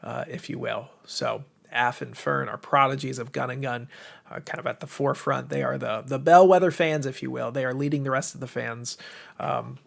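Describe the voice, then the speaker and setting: Gravelly American man hosting, voice giving out. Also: mid-range voice, steady tone, normal speed. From United States. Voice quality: tired, strained voice. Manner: enthusiastic, informal, rambling. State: voice going, slightly hoarse. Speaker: livestream host, game publisher. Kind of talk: casual livestream chat to viewers.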